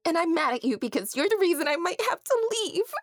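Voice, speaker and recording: High-pitched, pouty little princess. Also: female voice, sing-song, fairly quick, no echo, studio quality. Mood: pouting, cross. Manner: pouty, indignant, childish. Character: petulant, childlike princess, a little imaginary friend. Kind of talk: audio fairy-tale drama acting.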